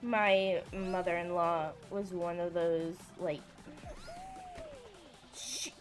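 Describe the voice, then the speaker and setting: A woman's voice: fairly low pitch, fairly even intonation, extremely slow, faint music behind. A woman hosting a game livestream, chatting casually and rambling with many "like" fillers. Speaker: livestream host. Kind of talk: casual chat while gaming.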